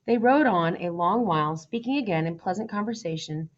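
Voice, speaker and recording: A woman's voice: on the low side, lively intonation, a little fast. Woman reading a storybook, slightly muffled. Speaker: storybook reader. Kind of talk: read-aloud children's story narration.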